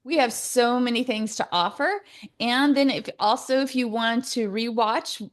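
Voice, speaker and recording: Higher-pitched woman hosting a casual livestream. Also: unhurried. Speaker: co-host.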